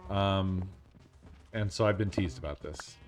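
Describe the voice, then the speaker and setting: A man with a deep, monotone voice, talking in an unhurried, casual way while he streams a game. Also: faint music behind.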